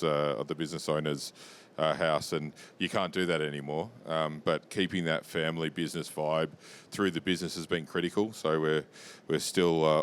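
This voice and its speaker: An Australian man answering as a company executive in a business interview, matter-of-fact and explanatory. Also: clean tone. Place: interview lounge at a trade show. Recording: low rumbling background noise.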